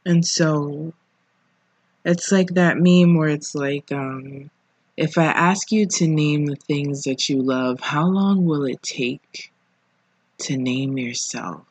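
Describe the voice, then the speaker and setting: Podcast host, husky voice, unhurried pace, informal reflective monologue, pauses between phrases. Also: medium pitch, dry recording. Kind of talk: casual solo podcast monologue. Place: living room at home.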